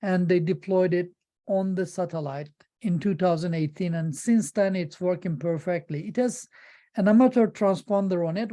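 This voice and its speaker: A middle-aged man with a Turkish accent gives an informal presentation in halting English, often repeating words as he searches for phrasing. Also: high voice, dark tone. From Turkey.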